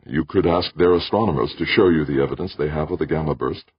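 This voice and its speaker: A man voicing a steady, earnest man in an audiobook, controlled and deliberate. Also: extremely low pitch, slightly rough, dull audio. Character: steady, earnest man, convinced and holding his temper. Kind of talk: audiobook character voice.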